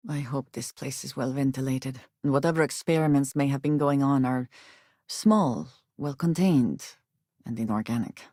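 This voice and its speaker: An actress voicing a guarded, earnest young woman space explorer in a video game, speaking in formal, measured phrases with few contractions. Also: low voice, vocal fry, darkish tone, breathy edge, dry recording, clean studio sound, full character voice.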